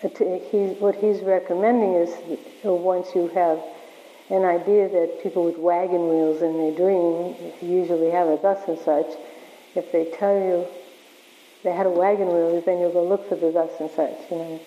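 A woman leading a workshop, answering questions in a halting way with many restarts, her voice wearing thin. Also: fairly low pitch, little pitch movement. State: losing her voice. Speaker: workshop leader. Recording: echoey hall, dull lo-fi sound.

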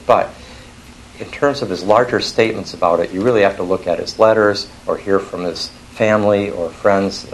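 An older man from Switzerland giving an academic lecture in a scholarly, halting way, often correcting himself mid-phrase. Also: deep voice, vocal fry, slight background noise, poor audio.

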